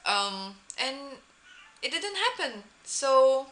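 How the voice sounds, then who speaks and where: A young woman with a French accent, a native French speaker, chatting casually to camera with many pauses and restarts. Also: high voice, big pitch swings, a bit bright, slight background noise. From France. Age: young adult. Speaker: vlogger, former exchange student.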